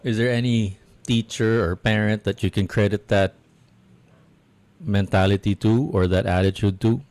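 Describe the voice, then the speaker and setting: A man's voice: on the low side, fairly even intonation, normal speed, darkish tone, dry recording. A man co-hosting a casual podcast, asking curious, probing follow-up questions. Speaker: podcast co-host.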